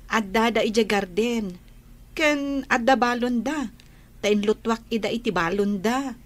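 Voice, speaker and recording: Radio drama actress: ambitious, teasing young Ilocano woman with a high voice. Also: unhurried, lightly acted. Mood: friendly, eager.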